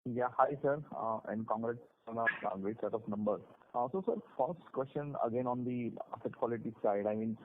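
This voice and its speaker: A man with an Indian accent asking analyst questions on a conference call, in halting, filler-heavy phrasing. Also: medium pitch. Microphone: telephone conference line. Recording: narrow phone line with faint noise.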